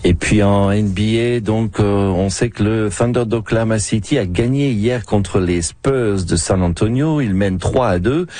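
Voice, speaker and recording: A man's voice: on the low side, measured pace, hardly any pauses, mellow, no echo. A man hosting a live radio news program and handing over to reporters.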